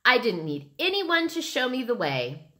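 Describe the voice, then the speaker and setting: A woman's voice: a little high, big pitch swings, moderate pace, sharp tone, pitched above the performer's own voice. Woman as a bold, gleeful five-year-old girl. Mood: bold, proud.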